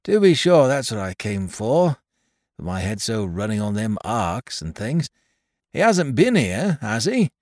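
A man's voice: fairly low pitch, very animated, unhurried, a little mellow, no echo, studio quality, pitched above the performer's own voice. A man voicing a fussy, anxious little old toymaker in a read-aloud story. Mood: anxious eager. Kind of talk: storybook character voice.

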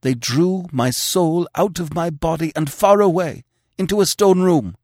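A man's voice: on the high side, a little slow, a little mellow, no echo, clean studio sound, voice pushed up. A man voicing a bewitched, dying young king who pleads in anguish and gives imperious orders, in an audiobook reading. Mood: urgent, failing. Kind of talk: audiobook character voice.

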